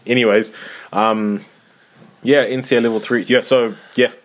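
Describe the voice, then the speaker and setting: A man's voice: mid-range voice, steady tone, normal speed. A man in his twenties from Zimbabwe, co-hosting a casual podcast, talking with lots of fillers and restarts. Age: 20s. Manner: hesitant, many fillers and restarts. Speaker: podcast co-host.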